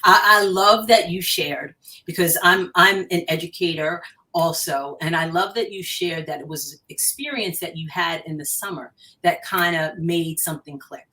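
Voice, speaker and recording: Lower-pitched American woman hosting an interview. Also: normal speed, sharp tone. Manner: affirming, repeats words as she forms questions. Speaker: interview host, educator. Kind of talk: conversational interview.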